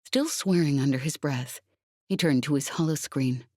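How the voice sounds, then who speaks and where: Low-voiced woman narrating fiction, dry sound. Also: moderate pace, a little mellow, no echo. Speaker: audiobook narrator. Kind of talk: dramatic scripted fiction narration.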